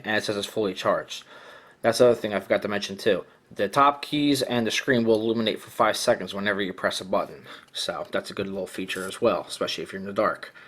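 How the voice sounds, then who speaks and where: A man's voice: lowish voice, a bit bright. A man giving a casual, hands-on product demonstration, explaining things in a plainspoken way. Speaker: product reviewer.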